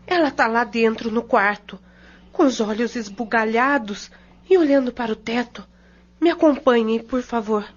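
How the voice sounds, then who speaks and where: A woman's voice: fairly high pitch, normal speed, dull audio, natural voice. A woman with a Brazilian accent playing a worried, humble mother who talks in a plain, apologetic way in an audio drama. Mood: anxious. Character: worried, humble mother seeking help for her daughter.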